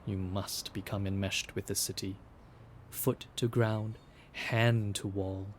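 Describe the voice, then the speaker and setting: A man acting as a solemn guide in an audio drama, giving deliberate, hushed, poetic instructions. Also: lowish voice, little pitch movement, slight background noise. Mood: hushed, contemplative. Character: solemn male guide instructing an archival recorder in ruins. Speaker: narrator guide. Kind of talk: scripted audio drama narration.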